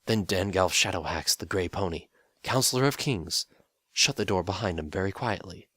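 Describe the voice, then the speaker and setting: Low-voiced male narrator for a dramatic story reading, steady and wry, dry close sound. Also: moderate pace, dry recording.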